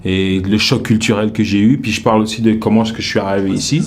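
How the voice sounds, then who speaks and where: A man's voice: fairly low pitch, fast talker, a little hiss or hum. A young man with a Paris-suburbs accent, talking casually and rambling with fillers in an interview. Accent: French from the Paris suburbs, slightly Québec-tinged. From France. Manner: relaxed, rambling, with frequent fillers. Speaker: comedian as interview guest.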